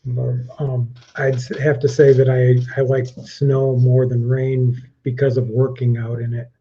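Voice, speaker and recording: A man in his fifties co-hosting a livestream, chatting casually through a question game and often restarting with ums. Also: mid-range voice. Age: 50s. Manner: even, measured, restarts with ums. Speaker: co-host of a livestream. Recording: dull lo-fi stream audio.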